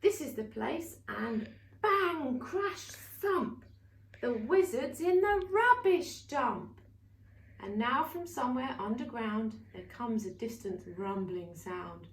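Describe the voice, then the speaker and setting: A woman reading a children's bedtime story aloud in an expressive, theatrical way with emphatic exclamations. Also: on the high side, takes it slowly. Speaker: story reader for a family centre. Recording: roomy, distant mic, faint noise.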